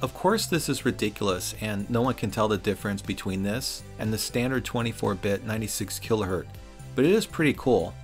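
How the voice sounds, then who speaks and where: A man's voice: fairly low pitch, animated, a little fast, soft background music. A middle-aged man reads a scripted product review voice-over in a wry, opinionated way. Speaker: reviewer and host.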